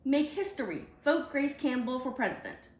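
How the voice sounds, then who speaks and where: A woman's voice: high voice, very animated, normal speed, echoey room, voice pushed up. A woman voicing a determined, earnest schoolgirl in a storybook, speaking emphatically. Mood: rallying. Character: determined, earnest schoolgirl running for class president.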